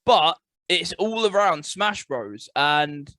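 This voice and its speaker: A man's voice: fairly high pitch, animated, slow pace, bright, forceful tone, no echo. A man with an English accent co-hosting a gaming podcast over a video call, enthusiastic and repeating phrases for emphasis. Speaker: podcast co-host. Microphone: remote call mic.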